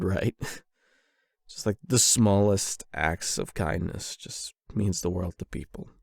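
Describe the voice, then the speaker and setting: A male performer plays a bashful young man opening up to his online friend in an intimate audio roleplay. His voice sits low with a crackly fry, and he talks hesitantly, circling his point nervously. The sound is dry and close. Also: a little mellow, dry recording, full character voice. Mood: tender, earnest. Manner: hesitant, rambling, nervous chuckles. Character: shy, flustered young man confessing love to online friend. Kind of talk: ASMR boyfriend roleplay, intimate monologue.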